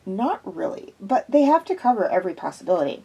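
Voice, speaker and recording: A woman voicing a soothing middle-aged man, an art expert, in a measured tone. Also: medium pitch, big pitch swings, creaky, mellow, breathy edge, lo-fi sound, full character voice.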